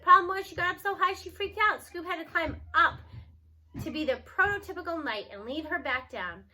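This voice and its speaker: Bright, high-voiced woman reading aloud. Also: brisk. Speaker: read-aloud reader. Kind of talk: expressive children's novel read-aloud.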